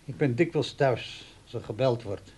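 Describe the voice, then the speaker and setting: A man's voice: mid-range voice, normal speed, a little mellow, slightly rough, lightly acted. An actor playing a gloomy old father who feels forgotten, resigned and brooding, in a radio play. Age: older.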